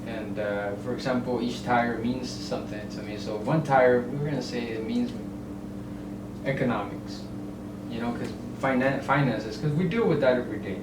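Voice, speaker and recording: Halting middle-aged man, roomy distant sound. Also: mid-range voice. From El Salvador. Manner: halting, many fillers and restarts, explains with homely analogies. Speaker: guest, real estate broker. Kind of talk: casual interview chat. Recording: roomy, distant mic, ambient noise.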